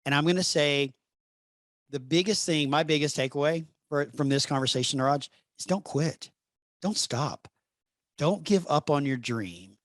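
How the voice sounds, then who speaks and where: A man hosting a podcast interview, friendly and encouraging, speaking in an unhurried way. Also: a little high, expressive, dry recording, studio quality. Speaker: podcast host.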